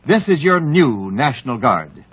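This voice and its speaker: A man's voice: mid-range voice, sing-song, unhurried. A man announcing a scripted promo on old-time radio in a formal, solemn delivery. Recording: muffled old radio recording.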